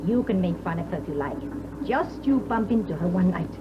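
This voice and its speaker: An actress with an English accent playing a young bride, bright and teasing, in a 1950s radio drama. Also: female voice, fairly low pitch, animated, fairly quick, lightly acted. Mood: lively. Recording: dull hissy old radio recording.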